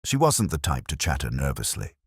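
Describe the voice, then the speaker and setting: A man with a very deep voice narrates a detective story in the first person. His delivery is wry and hardboiled, with wide swings in pitch, and the recording is dry and close. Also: huge pitch swings, fairly quick, slightly rough, no room sound. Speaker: audiobook narrator.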